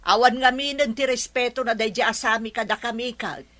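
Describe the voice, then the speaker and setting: A woman's voice: a little high, normal speed, bright, forceful tone. A woman playing a stubborn, defensive mother who complains about her son in a radio comedy drama. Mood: complaining.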